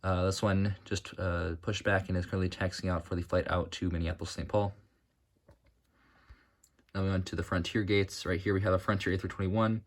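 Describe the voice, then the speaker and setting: A young man with a low, deep voice talks through his hobby build in a loose, rambling way. He fills his pauses with "uh" and corrects himself often. His throat is sore and dry. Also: flat delivery. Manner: rambling, with many fillers and self-corrections. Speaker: model airport hobbyist showing his build. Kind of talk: casual narrated walkthrough.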